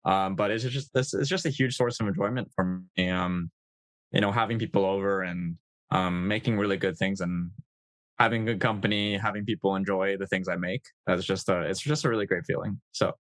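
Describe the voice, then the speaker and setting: Male podcast guest, low-ish voice, dry close sound, chatty and easygoing. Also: steady tone, fairly quick, fairly bright tone, dry recording. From United States. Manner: easygoing, chatty, with frequent fillers. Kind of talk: casual conversational interview.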